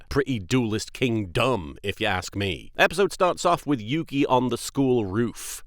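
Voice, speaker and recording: A man's voice: lowish voice, fairly bright tone, dry recording, studio quality. A man giving comedic anime recap commentary, full of wry, sarcastic asides. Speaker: host of an anime rewatch series.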